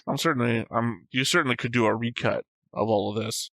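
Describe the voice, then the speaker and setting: A man's voice: medium pitch, fairly quick, a bit bright, dry recording, studio quality. A man hosting a reaction show in a casual, conversational style. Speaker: host of an anime reaction show.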